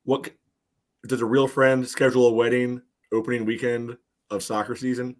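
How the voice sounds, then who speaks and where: A man's voice: mid-range voice, flat delivery, moderate pace. A man on a sports podcast panel, giving casual, conversational game analysis.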